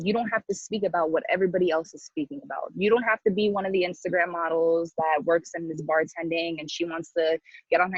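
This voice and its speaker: A woman's voice: lowish voice, husky, dry recording. A woman coaching a sales team in a casual, motivational style, blunt and slangy, emphatically repeating her key phrases, on a dull lo-fi recording. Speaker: sales team coach leading a training call. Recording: dull lo-fi sound.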